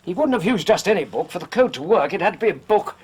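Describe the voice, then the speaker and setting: An actor playing a sensible male companion to a detective in a radio drama, husky, dry and exasperated. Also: high voice, sing-song, fast, full character voice.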